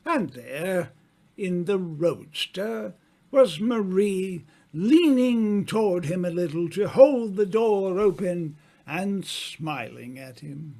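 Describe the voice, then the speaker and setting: High-pitched man narrating a slow, dramatic mystery. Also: lively intonation, mellow. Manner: dramatic, deliberate storytelling. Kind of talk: scripted old-time radio mystery narration.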